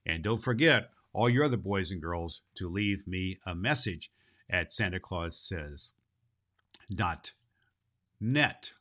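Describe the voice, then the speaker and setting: A man's voice: deep voice, lively intonation, takes it slowly, pushed and bright, heavily acted. A man playing a jolly, kindly old Santa Claus, hosting a children's show in a folksy, rambling, emphatic way. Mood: cheerful, kindly. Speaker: show host.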